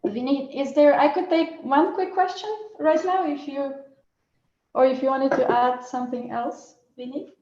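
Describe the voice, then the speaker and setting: A woman's voice: sits high, fairly even intonation, normal speed, a little mellow. A woman teaching Ayurvedic cooking over a video call, explaining patiently and often restarting her phrases. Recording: roomy and muffled video call audio.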